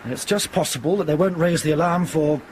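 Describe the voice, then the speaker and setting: An actor playing a stern, authoritative airline captain in a radio drama, with a clipped, commanding manner. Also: male voice, fairly high pitch, fast, a little mellow, slight background noise, close to an everyday voice. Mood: worried, brisk.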